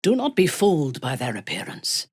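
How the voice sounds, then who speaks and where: A woman playing a haughty, menacing dark elf warrior commander in a video game, with a husky voice and a cold, imperious, deliberate delivery. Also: sits low, big pitch swings, no echo, studio quality, full character voice. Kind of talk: dramatic video game voice acting.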